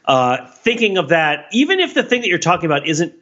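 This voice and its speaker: Bright-voiced analytical man on a lo-fi podcast. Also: fairly high pitch, big pitch swings, fairly quick. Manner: discursive, analytical, wryly humorous. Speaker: podcast co-host. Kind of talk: casual conversational podcast discussion.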